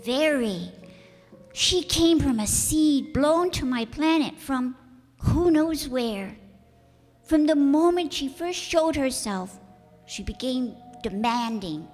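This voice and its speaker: A woman plays a small, innocent prince in a radio drama, earnest and wistful. The voice is high and very expressive in its rise and fall, with hall reverb around it. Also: takes it slowly. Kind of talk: theatrical radio play acting. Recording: echoey hall with music bed.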